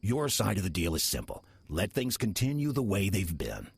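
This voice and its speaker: Casting: sly demon in horror audio drama, low raspy voice, swooping inflection, mock-polite. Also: male voice, sing-song, exaggerated voice. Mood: quietly menacing. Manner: measured, insinuating, mock-polite. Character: sly, smooth-talking demon posing as lovable old church deacon.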